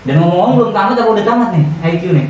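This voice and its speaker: A man's voice: sits high, animated, rapid-fire, darkish tone, muffled recording. A man co-hosting a casual, slangy podcast chat about his hobby. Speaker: podcast co-host. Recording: distant mic, heavy room echo, faint noise.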